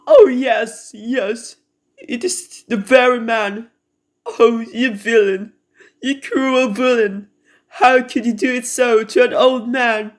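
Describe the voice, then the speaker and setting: A woman playing a cunning, murderous noblewoman who feigns grief and mercy, theatrical and deliberate, in an amateur radio drama. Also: on the high side, fairly even intonation. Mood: feigned horror.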